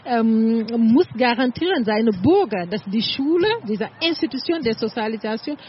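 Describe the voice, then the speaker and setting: A middle-aged woman with a Senegalese French accent speaks in a fairly high voice. She gives conversational interview answers, searching for her phrasing as she goes. The sound is muffled, with faint noise behind it. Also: expressive, normal speed. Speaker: interview guest, community project coordinator.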